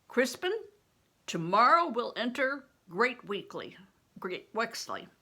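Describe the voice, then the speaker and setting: A woman's voice: a little high, big pitch swings, slow, pushed and bright, slightly rough, pitched above the performer's own voice, full character voice. An older woman voicing a big, fatherly male traveling performer in a storybook, blunt, devout and hearty, with old-fashioned emphatic speech. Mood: grave, emphatic.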